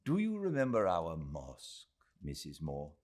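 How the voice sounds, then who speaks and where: Casting: ardent, gracious gentleman played by a male reader, emphatic and animated, for a literary audiobook. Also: sits low, measured pace, heavily acted. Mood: tender. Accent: British. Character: eager, courteous, effusive Indian gentleman host. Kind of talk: audiobook character voice.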